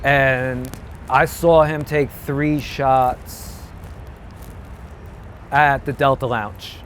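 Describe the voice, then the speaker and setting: A man's voice: mid-range voice, steady tone, drawn-out words, a little hiss or hum. A male comedian guest in a casual street chat, telling rambling, profane stories. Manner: rambling storyteller with swearing. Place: outdoors on a city street.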